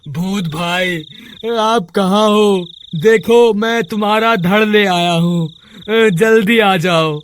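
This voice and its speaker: A man's voice: high-pitched, slow pace, a little hiss or hum. A man voicing a frightened village man in a horror cartoon, pleading and respectful in an exclamatory way. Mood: calling, coaxing. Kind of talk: Hindi horror cartoon character voice acting.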